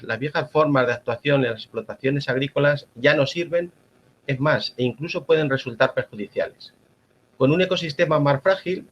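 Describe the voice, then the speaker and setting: A man from La Mancha with a Spanish accent giving a formal, measured conference talk as an agronomist. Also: mid-range voice, highs cut off. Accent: Spanish (Spain). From La Mancha, Spain. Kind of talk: prepared conference presentation.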